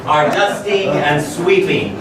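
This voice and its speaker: A man giving deliberate, announcer-like narration of stage directions at a live staged reading. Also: high-pitched, crowd noise. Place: live venue with audience. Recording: echoey hall, far mic, background noise.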